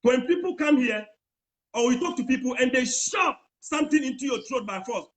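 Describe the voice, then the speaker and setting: A man's voice: very high-pitched, lively intonation, normal speed. A man, debating heatedly on a phone video call and talking over the other person. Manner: insistent, talks over the other, repeats words. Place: bright room indoors. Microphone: phone camera at arm's length, video call.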